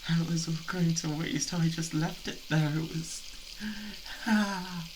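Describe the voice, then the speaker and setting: A man with a British accent playing an obsessive, unhinged boyfriend, doting and menacing, in a halting, intimate ASMR roleplay. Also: a little high, fairly even intonation, background noise, close to an everyday voice. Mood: softly menacing. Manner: halting, giggly, theatrically coaxing.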